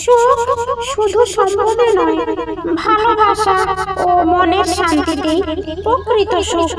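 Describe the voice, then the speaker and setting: A woman voicing a solemn, wise magic diamond in a fairy-tale cartoon, giving slow, preachy warnings from a distance over loud background sound. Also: extremely high pitch, steady tone, slight background noise.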